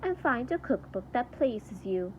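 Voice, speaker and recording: A kindly woman judge from a children's storybook, acted out by a woman reading aloud. Her voice is high, polite and lilting, with faint noise behind it. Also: sing-song, unhurried, rough recording. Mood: concerned, helpful. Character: kindly, gracious woman judge who loves books.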